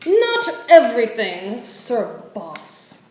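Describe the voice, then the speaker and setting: A woman's voice: on the high side, huge pitch swings, drawn-out words, darkish tone, a little hiss or hum, full character voice. Woman playing a theatrical, swooping, gloating villainous wizard. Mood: gloating menace. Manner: theatrical, declamatory. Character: gloating villainous old male wizard, triumphant and menacing. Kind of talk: stage-style radio play acting. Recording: echoey room, music behind.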